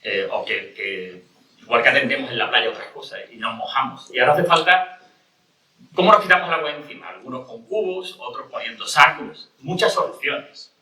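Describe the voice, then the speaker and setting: A man's voice: medium pitch, normal speed, pushed and bright. A man from Cádiz speaking on a panel, rambling with many restarts and rhetorical questions. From Cádiz, Spain. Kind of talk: panel discussion. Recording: echoey room from a distance.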